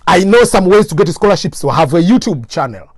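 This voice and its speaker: Higher-voiced Tanzanian man, motivational speaker. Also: sing-song, fast, slightly rough, no echo, clean studio sound. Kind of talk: motivational talk to camera.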